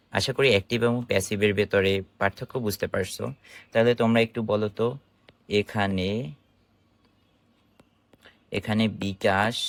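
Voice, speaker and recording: A Bangladeshi-accented man speaks as a teacher in an informal online lesson. His voice sits fairly low and is recorded very dry, with no room sound. He explains step by step, often posing a question and answering it himself. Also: dry recording. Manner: explanatory, instructive, asking and answering own questions. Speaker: English grammar teacher.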